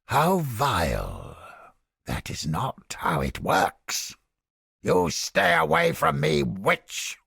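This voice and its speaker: A man playing a haughty, creepy mind-reading interrogator in a video game, with a pompous, florid, theatrical delivery and a raspy, gravelly voice. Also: medium pitch, wildly swooping pitch, extremely slow, fairly bright tone, dry recording, clean studio sound, heavily acted. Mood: disgusted, scornful. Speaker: game character. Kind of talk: video game character voice lines.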